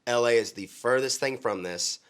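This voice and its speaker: A man's voice: mid-range voice, normal speed, clean tone. A young man from Oklahoma, a country singer, talking earnestly in a casual interview with frequent ums and restarts. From Oklahoma, USA.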